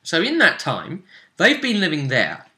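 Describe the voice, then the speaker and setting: Animated, bright-voiced man chatting. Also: a little high, measured pace. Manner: animated, expressive. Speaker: host and game master of a tabletop roleplay series. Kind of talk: casual recap chat.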